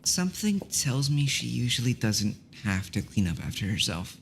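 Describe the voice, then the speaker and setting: Woman acting a very low-voiced, reserved young investigator. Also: sing-song, normal speed, creaky, slight background noise, heavily acted. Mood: understated. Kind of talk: voice acting in an animated murder mystery.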